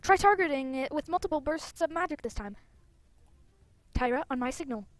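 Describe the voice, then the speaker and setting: A woman's voice: high-pitched, expressive, fairly quick, no echo. A woman reading out the lines of a bold, hot-tempered young female rider in a fantasy game. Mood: eager, determined.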